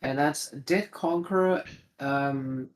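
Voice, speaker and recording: A man's voice: medium pitch, slow pace. A man co-casting a strategy game tournament, chiming in often with short agreements. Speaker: co-caster. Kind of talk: casual game commentary.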